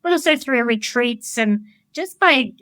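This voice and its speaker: Blunt, high-voiced woman life coach. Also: a little slow, no room sound, clean studio sound. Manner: candid and blunt, swears freely; enthusiastic, animated storytelling. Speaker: life coach, podcast guest. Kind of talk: casual conversational interview.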